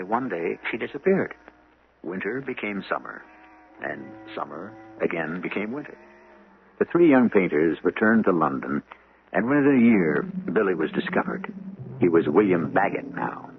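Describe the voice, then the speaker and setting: A man hosting and narrating a 1970s radio mystery, theatrical, with dramatic pauses. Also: low-pitched, vocal fry, a little hiss or hum. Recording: muffled, lo-fi old radio recording.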